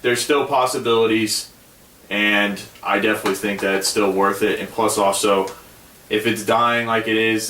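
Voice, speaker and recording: A lowish, bright-toned man chats easily on a gaming podcast, circling his point with plenty of "like" and "I mean". He sits a little back from the mic in an echoey room. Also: distant mic, slight background noise. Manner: easygoing, argues his point with many fillers. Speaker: podcast co-host. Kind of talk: casual gaming podcast chat.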